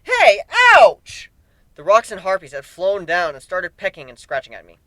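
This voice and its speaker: A man's voice: sits high, fairly quick, a bit bright, no room sound. A man narrating a first-person story dramatically, as an amateur reader.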